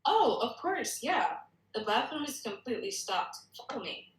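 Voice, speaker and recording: A woman's voice: fairly high pitch, very animated, normal speed, reverberant. A woman reading a confident, playful female character at a screenplay table read. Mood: gracious. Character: polished, confident society hostess, and a giggly psycho young bartender.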